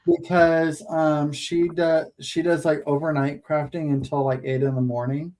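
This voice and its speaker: The host of a craft livestream talks slowly and easily, pausing often with ums. The voice sits in a middle pitch. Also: flat delivery, a little mellow. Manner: slow, hesitant, many ums. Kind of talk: casual chat.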